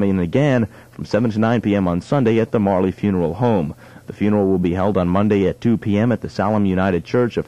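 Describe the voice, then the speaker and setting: A man's voice: lowish voice, dark tone, no room sound, dull audio. A young man reading a scripted local radio news bulletin, now and then stumbling over his words. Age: young adult. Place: radio studio.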